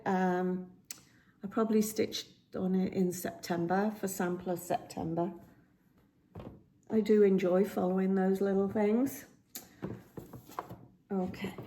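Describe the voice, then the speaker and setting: A woman with a slightly croaky voice chats casually about her cross stitch projects, rambling with many ums and restarts, filmed on a phone at home. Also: medium pitch, mellow. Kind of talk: casual show-and-tell chat. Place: indoors at home by a window. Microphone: phone camera.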